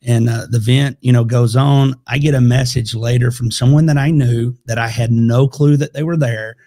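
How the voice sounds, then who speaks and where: A middle-aged man hosts a podcast in a relaxed, easygoing way, chatting loosely and laughing often. He speaks into a boom-arm podcast mic in a small home studio. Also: moderate pace. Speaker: podcast host. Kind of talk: casual conversational interview. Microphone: podcast microphone on a boom arm.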